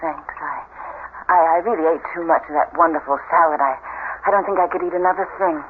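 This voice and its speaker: An actress playing an anxious young wife, loving but fearful and hesitant, in a 1970s radio drama. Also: female voice, low-pitched, quick pace, natural voice. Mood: weary, apologetic. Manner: hesitant, breaking up phrases. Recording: dull, noisy 1970s radio recording.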